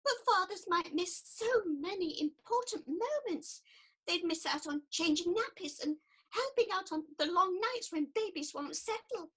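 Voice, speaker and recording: A woman's voice: very high-pitched, expressive, normal speed, a bit bright, poor audio. A woman playing an earnest, prim and easily offended counsellor in a radio comedy set in ancient Greece. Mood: indignant, offended. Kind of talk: radio play acting.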